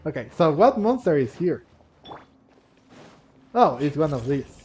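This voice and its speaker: A man with a fairly high voice comments casually on a video game. He rambles and halts, thinking aloud as he plays, with game music faintly behind him. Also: sing-song, measured pace, dark tone, breathy edge. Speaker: gaming commentator. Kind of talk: casual let's-play commentary.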